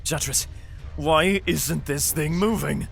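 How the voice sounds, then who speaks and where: A man's voice: fairly high pitch, slow pace, vocal fry, full character voice. A man playing a desperate, protective father fleeing an alien invasion, narrating his own story and speaking in an audio drama. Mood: urgent. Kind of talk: first-person dramatic narration and acted dialogue in audio drama. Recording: loud music and battle sound behind.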